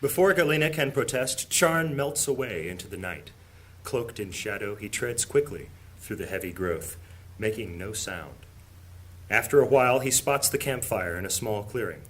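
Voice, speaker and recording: A man's voice: fairly low pitch, animated, normal speed, fairly bright tone, low-quality recording. A man performing grave, theatrical narration as the storyteller of a fantasy audio drama. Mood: suspenseful. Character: solemn male fantasy storyteller. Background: music.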